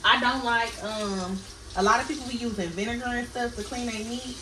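A woman's voice: fairly high pitch, fairly even intonation, fairly quick, pushed and bright, a little hiss or hum. A woman, chatting casually to her viewers as she cooks at home. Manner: chatty, addresses viewers as y'all. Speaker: vlogger. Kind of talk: casual cook-with-me chat. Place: small home kitchen. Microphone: camera across the kitchen. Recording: roomy kitchen audio from a distant camera, running water.

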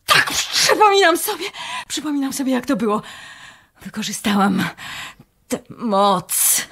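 An actress playing a shaken, entranced nun in a radio play, halting and repeating herself in fear. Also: female voice, mid-range voice, pushed and bright, husky, exaggerated voice. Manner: halting, repetitive, fearful. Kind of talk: Polish radio play acting.

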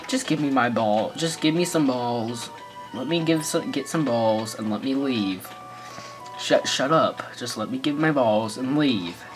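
A man's voice: medium pitch, measured pace. A man with an American accent giving goofy, rambling let's play commentary full of sarcastic jokes. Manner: joking, rambling, sarcastic asides. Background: faint game music.

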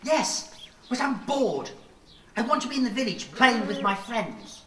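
A woman's voice: on the high side, big pitch swings, a little slow, pushed and bright, far from the mic, heavily acted. A woman playing a bored, mischievous young shepherd boy in a radio play. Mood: bored, scheming. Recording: echoey room, off mic, music behind.

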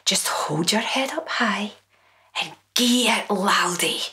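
A Scottish woman voicing a steely, proud mother who speaks in a hushed, clenched way in a story reading. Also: mid-range voice, slow pace. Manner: hushed, through clenched teeth. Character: steely, proud mother urging her son on.

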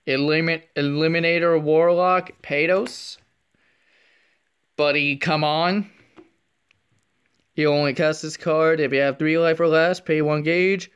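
A man quietly narrating a trading card pack opening, half whispering as he reads card names and comments on them, recorded indoors at a table on a phone camera. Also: a little high, steady tone, measured pace, long pauses, clean tone, highs cut off. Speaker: card unboxing youtuber.

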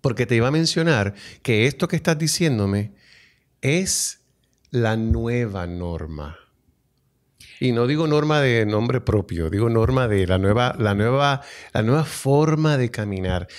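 Un hombre de mediana edad con acento puertorriqueño conduce una entrevista con una entonación muy animada, que sube y baja. Completa las frases de su invitada y mete palabras en inglés, hablando ante un micrófono de mesa en un estudio pequeño. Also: male voice, medium pitch, lively intonation, measured pace. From Puerto Rico. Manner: finishes the guest's sentences, mixes in English. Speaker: podcast host. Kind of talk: interview conversation. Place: small studio with a table. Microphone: table mic on a stand.

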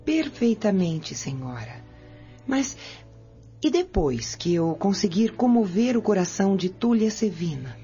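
A woman's voice: mid-range voice, very animated, measured pace, mellow, slightly rough, faint music behind, full character voice. An actress playing an obedient, deferential servant woman who conspires with her mistress in a radio drama. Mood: deferential, attentive.

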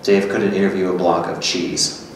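A man in his thirties reading the part of a dry, blunt, sceptical companion in a staged script reading, deadpan and terse. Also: deep voice, normal speed. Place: small hard-walled gallery room, indoors. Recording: very echoey room, distant, faint noise.

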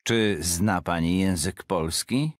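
Male course reader, low-pitched, careful and clear, dry studio sound. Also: takes it slowly, dry recording. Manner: careful, clear phrase-by-phrase delivery. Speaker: Polish-side speaker of a language course. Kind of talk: slow scripted phrase reading.

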